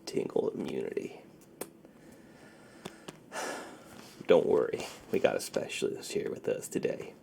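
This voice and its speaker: A man playing a friendly, secretive underground ASMR dealer in a soft, breathy, half-whispered roleplay voice. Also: very deep voice, sing-song, a little hiss or hum, exaggerated voice. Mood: hushed, reassuring. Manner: slow, hushed, conversational.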